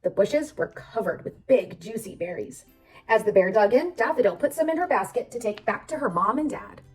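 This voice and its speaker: A woman reading a children's storybook aloud as narrator, expressive and addressing young listeners. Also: mid-range voice, normal speed, recorded from a distance, rough recording. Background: background music.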